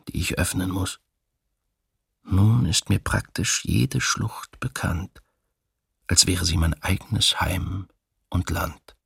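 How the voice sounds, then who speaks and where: An actor voicing a grief-burdened poet in a radio drama, gravelly and husky, reciting slowly and solemnly with heavy deliberation. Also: male voice, sits low, no echo, studio quality, exaggerated voice. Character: grief-burdened male poet looking back on mass graves.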